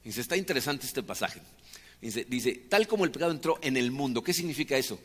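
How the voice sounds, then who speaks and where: A man's voice: mid-range voice, lively intonation, a little fast, big-room sound. A man from Mexico with a Mexican Spanish accent, preaching in a chatty sermon style full of rhetorical questions. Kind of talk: conversational sermon.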